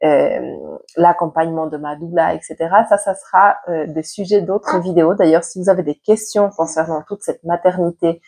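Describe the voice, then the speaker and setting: A woman speaks with a husky, slightly low voice and a stuffy nose from a cold. She chats candidly to her camera at home, with many pauses and fillers. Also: steady tone, mellow. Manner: chatty and candid, with frequent hesitation fillers. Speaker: vlogger and mother. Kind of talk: personal storytelling to camera. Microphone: external mic plugged into a camera.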